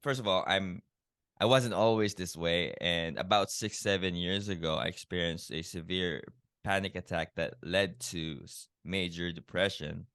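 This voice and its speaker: A man's voice: low-pitched, moderate pace, fairly bright tone, clear, resonant tone, dry recording, clean studio sound. A man from the Philippines, a health coach telling his own story as a guest in a podcast interview, earnest and reflective, with many "you know" fillers.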